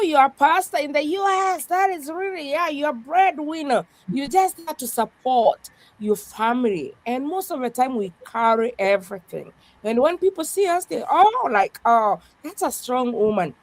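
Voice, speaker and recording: Higher-voiced woman pastor with a strong voice. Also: lively intonation, moderate pace, no echo. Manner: earnest, repeats "yeah" often. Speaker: pastor, guest. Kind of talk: podcast conversation, reflective.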